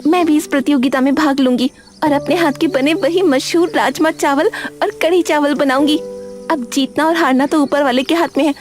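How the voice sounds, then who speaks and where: A woman acts out a young cartoon food seller, hard-working and earnest. Her voice sits high and carries open, expressive emotion. Music plays clearly behind her. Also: normal speed, lightly acted. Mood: excited, determined. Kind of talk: cartoon story character dialogue.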